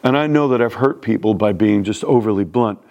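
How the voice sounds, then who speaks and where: A man's voice: fairly low pitch, fairly quick, darkish tone, muffled recording. Blunt New York preacher man. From New York City. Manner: plain-spoken, blunt, conversational preaching. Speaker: pastor. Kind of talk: sermon.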